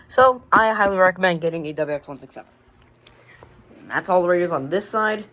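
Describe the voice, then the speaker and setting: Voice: medium pitch, fairly bright tone. Rambling hobbyist with noticeable background noise. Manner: rambling, hesitant, trailing off. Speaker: radio collector presenting a collection. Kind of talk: casual video tour, unscripted. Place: indoors at home, by shelves. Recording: noisy amateur home recording.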